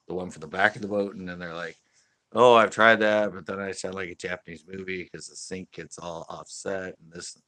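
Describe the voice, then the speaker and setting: A man with a deep, low voice talks casually on a live stream for his fishing channel. His tone is bright and forceful, and the sound is close and dry. He rambles, laughs often and circles back with fillers and restarts. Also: no room sound.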